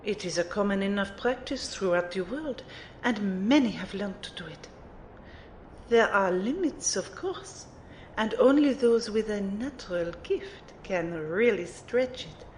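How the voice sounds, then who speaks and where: A woman's voice: expressive, measured pace, a little mellow, close to an everyday voice. A woman playing a refined, playful magic mentor in an audio drama, formal and precise as she teases and lectures. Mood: lecturing. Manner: formal, precise, teacherly phrasing. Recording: roomy amateur recording, faint rumbling noise.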